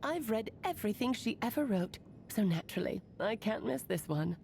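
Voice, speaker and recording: A woman's voice: medium pitch, lively intonation, normal speed, creaky, background noise. A woman voicing a playful, theatrical witch aunt who teases fondly and laughs between her lines.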